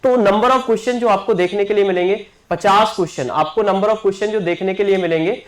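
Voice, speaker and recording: A man's voice: high-pitched, moderate pace, poor audio. A man speaks as an exam coaching teacher addressing his students. He repeats key points and keeps checking in with his listeners. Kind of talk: promotional class announcement.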